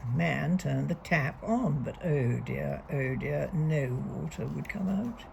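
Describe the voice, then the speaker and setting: A woman reading a picture book aloud to young children, deliberately and expressively, leaning on key words. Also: sits low, vocal fry, a little mellow, a little hiss or hum. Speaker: storybook reader.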